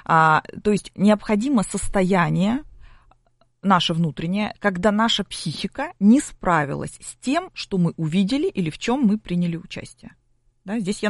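A woman's voice: medium pitch, lively intonation, normal speed, no echo, clean studio sound. A middle-aged woman explaining things as an expert guest in an interview, in a didactic way with rhetorical questions. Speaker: psychology expert guest on a broadcast.

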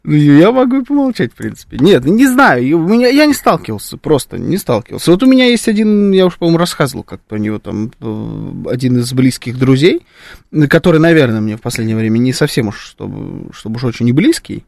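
Male radio co-host, lively pitch, halting and wry delivery, dry studio sound. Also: creaky, dry recording. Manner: hesitant, wry, many fillers and restarts. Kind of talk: live radio talk.

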